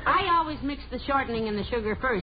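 An actress playing a patient, sensible, friendly housewife, gently chiding, in a 1940s radio comedy. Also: female voice, sits high, animated, fast talker. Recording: very noisy, dull old radio recording.

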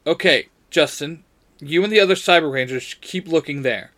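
A man puts on a stern young hero leading his team in an audio story. He is forceful and demanding, in a fairly high male voice. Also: moderate pace, close to an everyday voice. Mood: commanding. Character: Jason, stern commanding young male ranger team leader.

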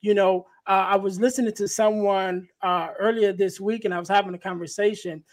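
Soft-voiced man, high and light. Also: fairly even intonation, a little fast. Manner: reflective, affirming. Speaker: podcast host. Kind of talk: casual podcast interview.

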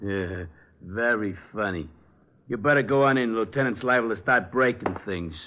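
An actor playing a dim, slangy, grumbling police sergeant in an old radio detective drama. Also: male voice, on the low side, normal speed, natural voice. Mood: surly, dopey. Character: dim, surly, slow-witted male police sergeant. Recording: dull, slightly noisy old radio recording.

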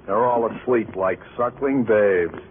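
Man acting insinuating sanitarium orderly, low pitch, mock-gentle, smug, vintage radio crime drama, narrow muffled sound. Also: measured pace, natural voice. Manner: mock-gentle, smug, calls everyone chum. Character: oily, insinuating male nurse at a shady sanitarium. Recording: muffled, noisy old radio recording.

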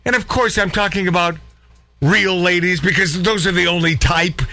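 A man's voice: high-pitched, moderate pace, pushed and bright. A middle-aged or older American man hosting an unscripted talk show, opinionated, brash and ribald in a rambling, emphatic way.

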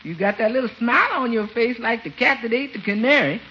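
A knowing, sassy housekeeper in a 1950s radio comedy. Her voice is high and bright, with folksy, wry scolding. The old broadcast sounds dull. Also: expressive, fast talker.

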